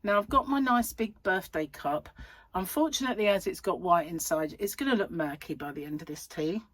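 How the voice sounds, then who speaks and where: A woman hosting a crochet channel, chatting in a rambling, self-mocking way at home while her voice is croaky from a cold. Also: fairly low pitch, expressive, brisk, a bit bright, a little hiss or hum. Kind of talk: casual chatty vlog. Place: at home, seated in a chair.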